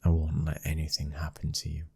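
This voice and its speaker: Man acting a very deep, husky British mafia husband. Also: monotone, fairly quick, heavily acted. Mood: urgent, protective. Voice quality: husky, breathy, sometimes dropping to a whisper. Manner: commanding yet intimate. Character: young mafia boss's son, protective, controlling, loving husband. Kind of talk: ASMR boyfriend roleplay audio drama.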